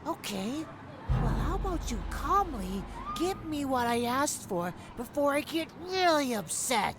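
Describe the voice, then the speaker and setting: An actress playing a nervy, threatening street mugger in an audio drama, edgy and stammering. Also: female voice, high-pitched, very animated, a little slow, crowd noise, background noise, heavily acted. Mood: menacing. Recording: music behind the voice.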